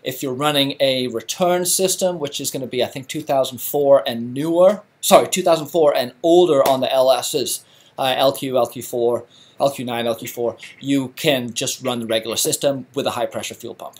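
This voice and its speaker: A man's voice: mid-range voice, moderate pace. A middle-aged man from England hosting a live stream, chatting casually with lots of fillers and restarts. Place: home garage.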